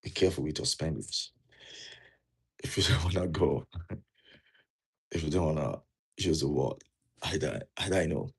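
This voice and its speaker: A man's voice: extremely low pitch, unhurried, creaky, long pauses, breathy edge. A man from Nigeria with a Nigerian accent, giving earnest, repetitive advice to viewers in a casual talk. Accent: Nigerian English. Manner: earnest, repeats key points, asks the viewer questions. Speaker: vlogger giving immigration advice. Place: indoors, wearing a jacket.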